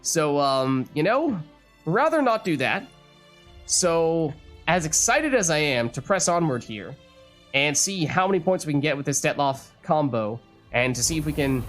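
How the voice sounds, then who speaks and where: A man talking through a card game run in a let's play, his voice still a little strained as it recovers. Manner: analytical, lively swings in pitch. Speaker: gaming YouTuber.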